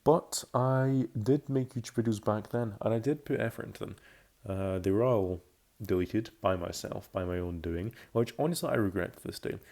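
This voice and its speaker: A man's voice: on the low side, moderate pace, creaky, darkish tone. A man in his early twenties giving casual commentary about himself, rambling and often restating or correcting his phrases. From Belgium, Scotland and France. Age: early 20s. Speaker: YouTuber.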